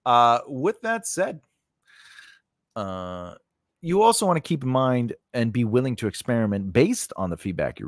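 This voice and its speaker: Male tutorial host, 40s, mid pitch with animated swings, emphatic and informal, close dry studio mic. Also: dry recording. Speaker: host of a screenwriting tutorial channel. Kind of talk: informal instructional talk to camera, partly read from notes. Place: indoor home studio. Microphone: close studio condenser microphone on a mount.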